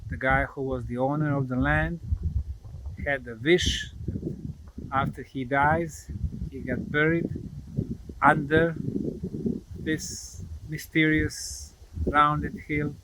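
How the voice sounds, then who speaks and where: A man presenting on location, explaining to camera in deliberate, emphatic short phrases with pauses. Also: on the high side, little pitch movement. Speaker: presenter and investigator. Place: outdoors in rural countryside. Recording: loud outdoor noise, rustling and vehicles.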